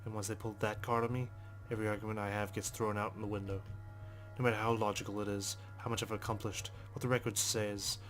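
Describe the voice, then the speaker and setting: A man's voice: fairly low pitch, level tone, fast, soft background music. A man hosting a game playthrough, reading visual novel narration aloud in a brooding, sweary way with casual asides. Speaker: let's play host.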